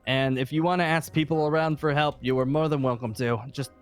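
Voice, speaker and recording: A man's voice: a little high, fairly even intonation, fairly quick, smooth, soft background music, natural voice. A man playing a weary, cautious leader of a zombie-apocalypse safe haven in an improvised game roleplay, talking hesitantly and trailing off. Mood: reluctant, instructive.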